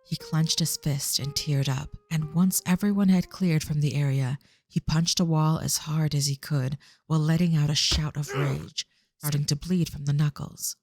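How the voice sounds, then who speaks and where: Creaky-voiced drama narrator, dry sound. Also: mid-range voice, a little mellow, dry recording. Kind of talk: scripted audio drama narration.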